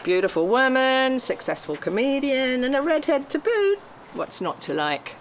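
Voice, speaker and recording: A woman's voice: sits high, sing-song, moderate pace. Woman acting a brash, swooping, wisecracking actress. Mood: brash, curious. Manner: blunt, exclamatory. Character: brash, nosy, wisecracking aspiring actress, middle-aged woman. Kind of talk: table read of a screenplay. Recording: faint room noise.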